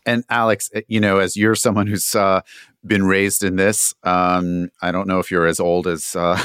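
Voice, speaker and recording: A male interviewer with a low voice, recorded dry. He keeps things casual, feeling his way through long questions and restarting as he goes. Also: level tone, normal speed, fairly bright tone, clear, resonant tone, dry recording. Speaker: interviewer, podcast host.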